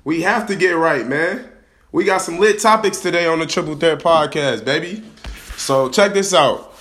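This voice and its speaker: A young man hosting his own podcast, hyped and slangy, hoarse from a cold. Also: on the high side, normal speed, a bit bright, faint music behind. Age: young adult. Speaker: podcast host. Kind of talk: casual vlog-style podcast talk. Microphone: camera.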